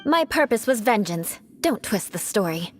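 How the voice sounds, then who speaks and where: Woman playing a haughty, vengeful young knight, highly animated. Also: moderate pace, faint music behind, close to an everyday voice. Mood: haughty, defiant. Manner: haughty, formal, theatrical. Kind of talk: anime video game voice acting.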